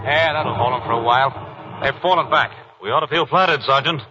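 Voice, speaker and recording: A calm, courteous officer played by a man in a vintage radio war drama. His mid-pitched voice is measured, on a muffled recording with clear noise. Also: lightly acted. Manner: measured, polite. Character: courteous, calm Marine lieutenant. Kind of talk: 1940s radio acting.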